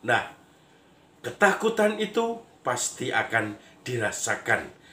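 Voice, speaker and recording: Hoarse priest with a cold, slow. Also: male voice, medium pitch, very animated, bright, forceful tone, echoey room. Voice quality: husky, a little nasal. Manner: chuckles often, storytelling; playful, mimics lion dance sounds. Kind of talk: homily.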